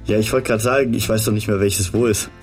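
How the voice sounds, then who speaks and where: Low-voiced joking male radio host. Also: steady tone, fast talker, soft background music. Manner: playful, teasing, mixes in English words. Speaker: radio morning show host. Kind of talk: casual joking radio chat.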